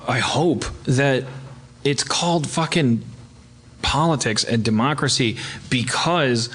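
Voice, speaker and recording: A man's voice: medium pitch, fairly bright tone. A man hosting a comedy podcast in a loose, rambling, stammering, often profane style. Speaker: podcast host.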